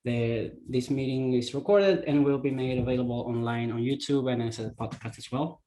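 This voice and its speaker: A man's voice: mid-range voice, moderate pace, mellow, poor audio. Hesitant man from Argentina opening a call. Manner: hesitant, with many fillers and restarts. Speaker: co-host. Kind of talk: opening an online meeting. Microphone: video call.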